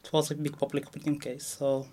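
A man telling an interviewer why he had to flee, in a halting way that restarts sentences often. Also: fairly high pitch, completely flat delivery, dark tone. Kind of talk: personal testimony.

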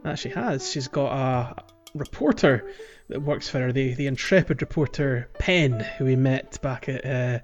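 A man's voice: mid-range voice, measured pace, muffled recording, soft background music. A man co-hosting a podcast, chatting casually about a video game, rambling with lots of fillers and little laughs. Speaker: podcast co-host.

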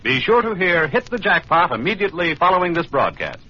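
A man's voice: high voice, very animated, brisk, pushed and bright, a little hiss or hum. A man announcing an old scripted radio show in a formal, declamatory 1940s style. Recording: dull old radio broadcast, music behind.

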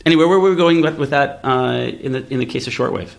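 A man with a mid-pitched voice talks as a podcast co-host. He explains technology and business ideas casually and analytically, thinking aloud and reaching for examples as he goes. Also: fast, gravelly. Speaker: podcast co-host, venture capitalist. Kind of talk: casual, explanatory tech discussion.

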